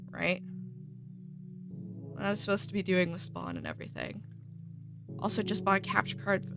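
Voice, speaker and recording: Chatty woman streamer with a gravelly voice. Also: mid-range voice. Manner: chatty, upbeat, talks to chat and thanks subscribers. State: slightly stuffy from a cold. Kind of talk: casual live-stream chat. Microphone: desk microphone with headphones.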